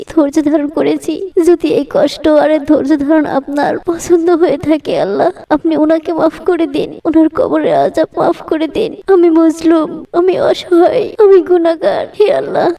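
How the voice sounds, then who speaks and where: A woman's voice: extremely high pitch, vocal fry, dark tone, distant mic. A woman voicing a humble, pious middle-aged village mother-in-law in a cartoon, with a plaintive, pleading manner. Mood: tearful praying. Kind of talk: cartoon voice acting.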